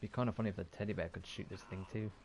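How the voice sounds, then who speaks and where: A man's voice: deep voice, steady tone, racing, background noise. A man giving casual, offhand gameplay commentary, muttering and mildly swearing to himself. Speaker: gaming YouTuber.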